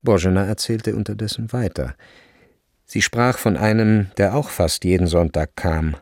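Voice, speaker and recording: A man narrating a literary radio drama in a measured, sober manner. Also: fairly low pitch, a little mellow, no echo, clean studio sound.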